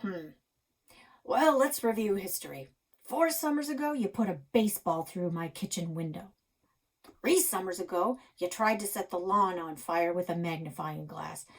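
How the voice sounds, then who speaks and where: A woman voicing an old grandfather in a storybook, gruff, teasing and exasperated in a folksy, blunt way. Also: lowish voice, animated, normal speed. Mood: stern, weary.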